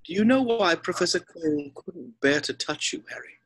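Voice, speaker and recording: A man playing a wise, gentle, elderly wizard headmaster in a fantasy screenplay read, speaking in a measured, deliberate way. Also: a little high, expressive, slightly rough.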